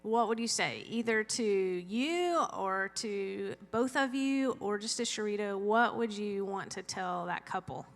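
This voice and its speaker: A woman's voice: medium pitch, measured pace, few pauses. A woman leading a women's Bible study, introducing and interviewing a guest with friendly, brief prompts. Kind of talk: hosting a church talk. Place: church room. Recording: long hall reverb.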